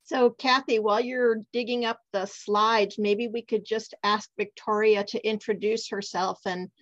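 A woman co-hosting a webinar on a video call, who welcomes the guest smoothly. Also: medium pitch, steady tone, unhurried, no room sound. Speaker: webinar co-host. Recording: dull, dry video-call audio.

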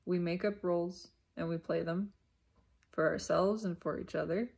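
A woman giving an unscripted, reflective spiritual monologue in short, halting, repeated phrases, with a husky, creaky voice. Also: lowish voice, steady tone, a little mellow. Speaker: self-reflective spiritual vlogger.

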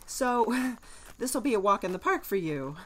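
Flustered, high-voiced nervous young man played by a woman. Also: very animated, measured pace. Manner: hesitant, flustered, with sighs and stammers. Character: nervous, soft-hearted young man, reluctant vampire hunter. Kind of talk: audio drama acting.

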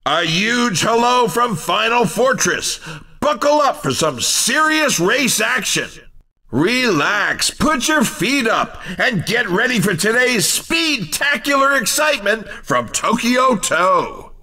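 An actor playing a zany, excitable race commentator in a cartoon racing game, with a showy announcer's delivery. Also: male voice, very high-pitched, takes it slowly, far from the mic, exaggerated voice. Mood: excited, welcoming. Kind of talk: excited arcade sports commentary.

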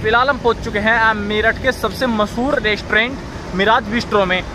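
A man's voice: high-pitched, fairly even intonation, brisk, noisy background. A man in his twenties with a western Uttar Pradesh accent, chatting informally to viewers as a vlogger. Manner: informal, addresses viewers directly. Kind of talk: casual vlog chat. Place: outdoors, roadside and restaurant garden.

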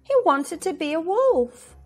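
High-voiced, animated woman storyteller. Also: a little slow, soft background music. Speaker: storyteller, channel host. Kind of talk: children's storybook read-aloud.

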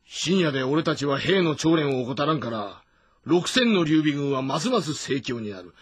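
Casting: blunt, brooding loyal warrior, very husky with swinging inflection, for a historical game drama. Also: male voice, fairly high pitch, very animated, moderate pace, no room sound, clean studio sound. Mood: restless.

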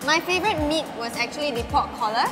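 A woman's voice: high voice, lively intonation, fast. A young woman with a Singaporean accent, hosting a food review in a casual, chatty style. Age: young adult. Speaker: food show host. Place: indoors at restaurant tables. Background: music.

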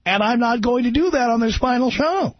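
A man's voice: very high voice, expressive, fairly quick, dry recording. A man hosting a call-in radio talk show, blunt and insistent as he argues his point. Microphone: studio mic. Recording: muffled dry radio broadcast.

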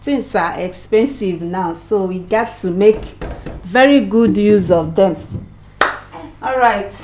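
Nigerian woman, young, unhurried cooking chatter, echoey kitchen, clear background noise. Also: medium pitch. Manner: chatty, talks to viewers as she works. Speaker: cooking vlogger. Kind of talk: casual cooking demonstration. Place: home kitchen, indoors.

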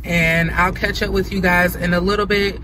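A woman's voice: on the low side, flat delivery, moderate pace, noisy background. A woman in her thirties chatting casually to her vlog viewers from a parked car, sighing and laughing between thoughts. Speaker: vlogger. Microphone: phone camera on dashboard.

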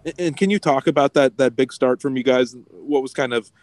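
A man's voice: mid-range voice, brisk, background noise. A male reporter asking a coach questions at a press conference, rephrasing as he goes. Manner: searching, rephrases mid-question.

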